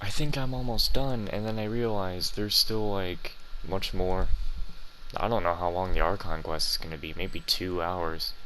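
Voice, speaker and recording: A man's voice: low voice, fairly even intonation, moderate pace, pushed and bright, a little hiss or hum, rough recording. A man hosting a gaming video with casual, informal playthrough commentary. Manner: informal, swearing. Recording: game music behind the voice.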